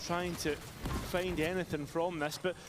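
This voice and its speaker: A man's voice: fairly high pitch, flat delivery, measured pace, music in the background. A man casting an esports match, calling the action with energy. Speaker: esports caster. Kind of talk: play-by-play commentary.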